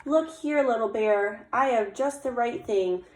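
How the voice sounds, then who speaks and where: A woman's voice: fairly high pitch, measured pace, darkish tone, echoey room, lightly acted. A woman voicing small, friendly woodland animals in a storybook, eagerly announcing their helpful gifts.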